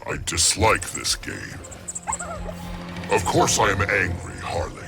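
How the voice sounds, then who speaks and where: An actor voicing an ancient, hulking plant monster who guards nature, speaking in a deep, gravelly, grave and formal way. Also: male voice, slow, heavily acted. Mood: grave menace. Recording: game music behind the voice.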